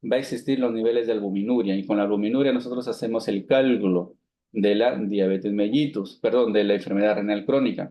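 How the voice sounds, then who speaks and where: A man's voice: fairly low pitch, highs cut off. A man in his thirties from Peru giving a medical lecture to students, explaining step by step, asking and answering his own questions, with many fillers and restarts. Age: 30s. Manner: many fillers like digamos and esto, frequent restarts. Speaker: physician lecturer.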